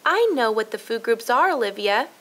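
Chirpy big-sister girl for a storybook played by a woman, high and very animated. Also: fairly quick. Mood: proudly knowing. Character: eager, bright young girl, helpful big sister.

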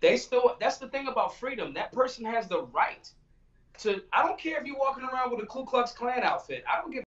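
A man's voice: extremely high pitch, very animated, fairly quick, fairly bright tone. A man in his forties, a guest on a video call, talking emphatically and acting out quoted exchanges. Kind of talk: casual video-call chat. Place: small room indoors. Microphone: video call webcam. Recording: muffled distant video-call audio.

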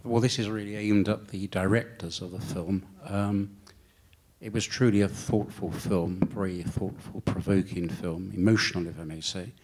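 A man in the audience politely asking the directors a question in a measured way. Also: low voice, fairly even intonation, a little mellow, a little hiss or hum. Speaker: audience member.

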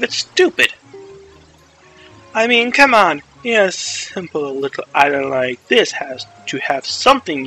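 A man's voice: on the high side, sharp tone, pitched below the performer's own voice, heavily acted, poor audio. A man voicing a brash, scornful young pirate girl captain from a video game, bossy and dismissive.

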